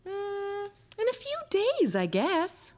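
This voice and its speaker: A woman voicing a patient, gentle mother in a preschool cartoon, speaking encouragingly as to small children. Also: very high voice, wildly swooping pitch, takes it slowly, heavily acted. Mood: thoughtful. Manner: gentle, encouraging, sing-song toward children.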